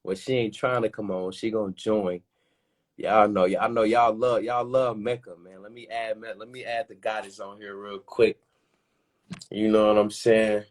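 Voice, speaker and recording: A man with a low voice hosts a live stream over a call, talking in easy slang. He speaks to his viewers in a relaxed, friendly way. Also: moderate pace. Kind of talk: casual chat.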